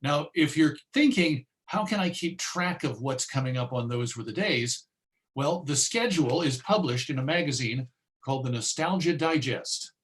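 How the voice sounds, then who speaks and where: A man speaking as a radio host giving an informal online presentation, chatty and self-deprecating, with a halting delivery. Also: a little high, animated, fairly bright tone. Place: remote video presentation.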